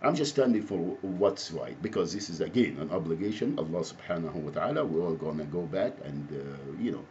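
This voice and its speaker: Deep-voiced Egyptian man, halting and rambling. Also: lively intonation, a little mellow. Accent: Egyptian Arabic. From Alexandria, Egypt. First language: Arabic. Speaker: activist, self-described advocate. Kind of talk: impromptu spoken monologue to camera. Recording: muffled audio with faint background noise.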